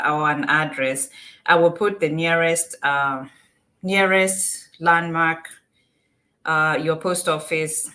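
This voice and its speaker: A woman's voice: sits low, sharp tone. A Kenyan-accented woman presenting an informal step-by-step tutorial, repeating and rephrasing her points as she explains. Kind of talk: informal step-by-step screen walkthrough.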